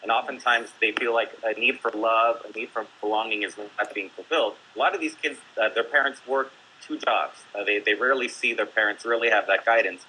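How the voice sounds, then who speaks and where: A man's voice: on the low side, fairly even intonation, fairly quick, hardly any pauses, sharp tone, poor audio. Man, teacher, panel guest, earnest, faint background noise. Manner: earnest, explanatory, with many fillers. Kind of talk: discussion panel talk.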